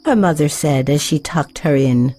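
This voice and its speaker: A woman storyteller reading a scripted children's tale with measured, careful phrasing for young listeners. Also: low voice, dark tone, faint music behind.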